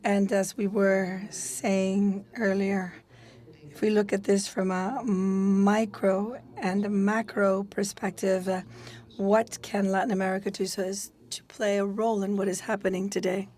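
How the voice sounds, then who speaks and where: A woman giving live interpretation of a panel, speaking in a halting way with many pauses and restarts. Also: medium pitch, little pitch movement, darkish tone. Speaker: simultaneous interpreter.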